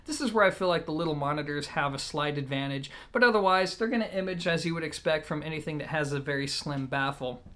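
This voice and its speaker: A man's voice: on the high side, quick pace, few pauses, fairly bright tone. A man in his thirties giving a casual product review, talking directly to viewers in a conversational way. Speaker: audio gear reviewer and host. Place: indoor room at home, seated.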